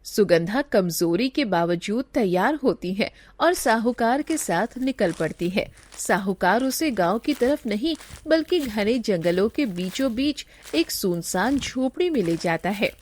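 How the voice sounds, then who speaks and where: A woman's voice: mid-range voice, animated, measured pace, hardly any pauses, a little mellow. A woman giving scripted narration of a story as its narrator.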